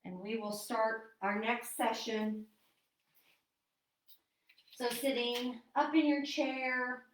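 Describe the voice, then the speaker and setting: A woman fitness instructor leading a seated online exercise class, chatty with fillers, then giving calm breathing cues. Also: fairly high pitch, slow pace, a little hiss or hum. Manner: chatty and apologetic, with many fillers. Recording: roomy echo, distant from mic.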